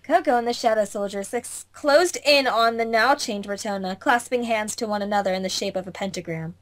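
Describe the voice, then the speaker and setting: A woman narrates a fantasy visual novel at a middle pitch. She reads it like a dramatic story, in an amateur fandub style, sometimes tripping over words. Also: fairly quick.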